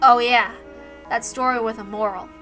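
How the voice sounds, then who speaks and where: Visual novel sceptical girl, female performer: high, bright, argumentative, insistent, fed up. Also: steady tone, moderate pace, faint music behind. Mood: weary, exasperated. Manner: insistent, questioning. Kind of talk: dramatic reading of a visual novel.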